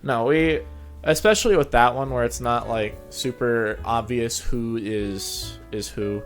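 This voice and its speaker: A man's voice: mid-range voice, measured pace. A man co-hosting a casual interview chat about his channel, speaking conversationally. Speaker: co-host and narrator of a story-reading channel. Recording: background music under the voice.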